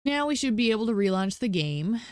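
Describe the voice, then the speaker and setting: Female game streamer, croaky, casual and rambling, dry close sound. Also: medium pitch, sing-song, a little fast, dry recording. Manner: thinking aloud, many ums, chatty asides to herself. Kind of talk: casual gameplay commentary.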